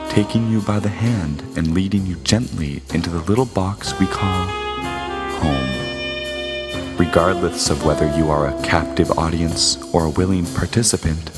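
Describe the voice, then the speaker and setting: A very low-voiced, ominous storyteller, played by a man, hosting a noir radio serial. He narrates with florid, portentous theatricality over loud music. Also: natural voice. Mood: ominous, inviting. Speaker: host and narrator. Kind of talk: dramatic old-time radio narration.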